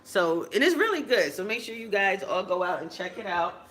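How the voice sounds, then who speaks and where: Hoarse woman co-host, bright echoey sound. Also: mid-range voice, sing-song, a little fast. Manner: chatty, lots of fillers and restarts. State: hoarse, cracking voice. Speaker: talk show co-host. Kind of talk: casual chat.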